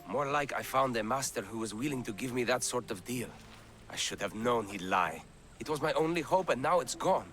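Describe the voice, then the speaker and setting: A man's voice: medium pitch, animated, a little fast, a bit bright, lightly acted. An actor playing a downtrodden, world-weary enslaved man in a video game, speaking with earnest feeling. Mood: weary, resigned. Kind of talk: dramatic video game acting.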